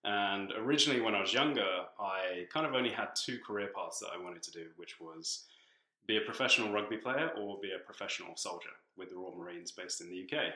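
A young British-born man raised in East Africa, chatting casually as a podcast guest about training, with a relaxed, filler-laden way of talking. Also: lowish voice, fast talker, echoey room, rough recording. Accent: British English, shifting from living abroad. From born in England, grew up in Tanzania. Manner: relaxed, chatty, many fillers, laughs between phrases. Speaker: podcast guest, strength coach. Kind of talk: casual conversational interview.

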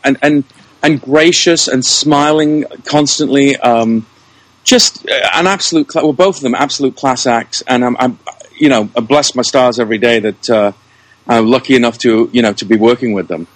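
A man's voice: medium pitch, moderate pace, no echo, low-quality recording. A man speaking as a guest in a casual podcast interview, effusive and often repeating words as he gathers his phrasing. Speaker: interview guest, producer, director and actor.

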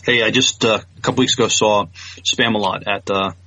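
A man co-hosting a casual news podcast, speaking haltingly and stammering over repeated words. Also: lowish voice, steady tone, sharp tone, no room sound, highs cut off. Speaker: podcast co-host.

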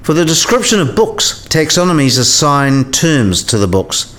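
A man's voice: fairly high pitch, sing-song, normal speed, a bit bright. A man playing a learned professor in a radio play, explaining patiently and precisely and asking probing questions. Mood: didactic, patient.

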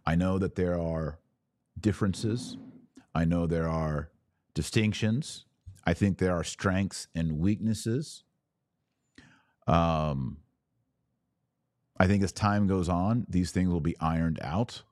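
A man's voice: low-pitched, slow, pauses often, darkish tone, no echo, clean studio sound. An American man speaking as a podcast host in conversational religious commentary, emphatic, often in short repeated phrases.

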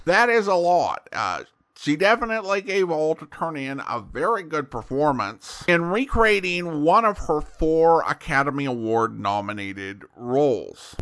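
A man hosting a show with informal, conversational commentary, explaining in a halting way. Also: on the high side, pushed and bright. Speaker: podcast host. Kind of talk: conversational radio-history commentary.

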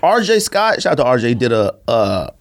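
A man chats casually as a podcast co-host, his pitch swinging around in a very lively way. He is slangy and jokey, and he has a cold that leaves him slightly under the weather. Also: normal speed. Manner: animated, joking, slangy. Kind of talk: casual chat.

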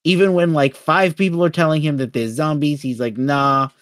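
A man's voice: a little high, fairly even intonation, moderate pace, fairly bright tone, no echo, clean studio sound. A man co-hosting a podcast, talking through films in a casual, rambling, conversational way. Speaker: podcast co-host. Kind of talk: casual film discussion.

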